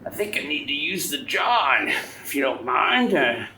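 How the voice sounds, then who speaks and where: A man voicing a talkative, polite elderly gentleman in a tabletop game, with a hesitant, rambling, folksy way of speaking. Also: fairly high pitch, sing-song, a little slow, voice pushed up, full character voice. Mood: apologetic. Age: older. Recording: roomy echo, background noise.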